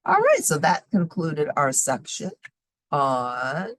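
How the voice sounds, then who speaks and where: A woman reading a children's nonfiction book aloud in a deliberate, explanatory way, emphasizing key words. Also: low-pitched, huge pitch swings, dry recording, clean studio sound. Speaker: host reading children's science books aloud.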